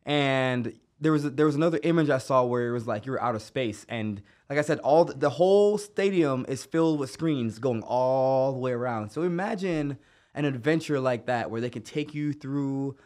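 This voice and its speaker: A man hosting a casual podcast, enthusiastic and animated as he tells stories. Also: mid-range voice, normal speed. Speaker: podcast host.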